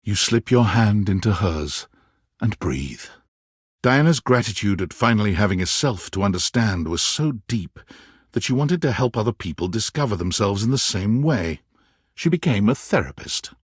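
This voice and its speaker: A man giving scripted, theatrical narration for a game, telling the story in an expressive, dramatic way. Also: on the low side, normal speed, husky, no echo, clean studio sound.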